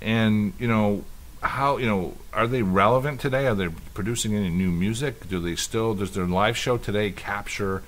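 A man's voice: fairly low pitch, moderate pace, a bit bright, a little hiss or hum. A man in his fifties co-hosting a casual podcast chat, telling long rambling stories with many restarts. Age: 50s. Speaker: podcast co-host.

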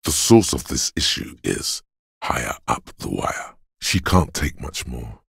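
A grave, stern narrator speaks as the body's inner voice of endurance in a video game. The voice is exceptionally low, full of gravel and very husky. Each line is blunt and deliberate. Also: male voice, very animated, fairly bright tone, no echo, clean studio sound, heavily acted. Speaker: game voice actor. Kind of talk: scripted second-person game narration.

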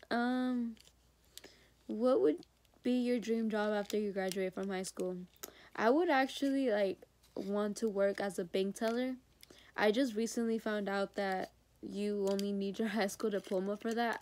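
A sick teenage girl with a hoarse voice, talking hesitantly to her phone camera in her bedroom for a school video diary. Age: teens. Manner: hesitant, many ums, soft and low-key. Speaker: high school student. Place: bedroom indoors. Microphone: phone or laptop camera at arm's length.

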